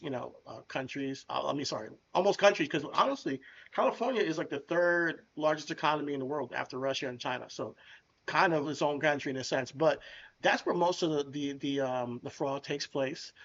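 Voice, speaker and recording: A man who co-hosts a podcast, talking in a casual, blunt and animated way, often restarting and repeating words. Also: on the high side, highs cut off. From United States. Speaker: podcast co-host. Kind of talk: casual chat.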